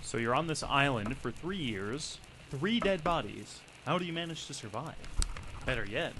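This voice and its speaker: A man's voice: mid-range voice, lively intonation, moderate pace, background noise, natural voice. A man playing a brash, nosy 1920s newspaper reporter in theatrical old-time radio style. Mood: skeptical, curious. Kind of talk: 1940s radio acting.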